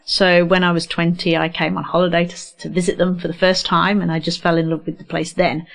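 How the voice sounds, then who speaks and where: A woman's voice: on the low side, little pitch movement, a little fast, vocal fry. Middle-aged woman with a slight English accent. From England. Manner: candid, reflective, with many hesitations. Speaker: guest, neurodiversity educator. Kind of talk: radio interview. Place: community radio studio. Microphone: studio microphone.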